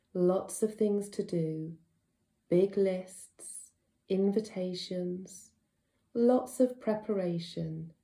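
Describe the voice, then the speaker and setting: A woman with a very soft, dark, mellow voice guides a deep relaxation session. She speaks slowly and gently, leaving unhurried pauses between short phrases. The sound is roomy, with a noticeable echo. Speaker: yoga nidra teacher.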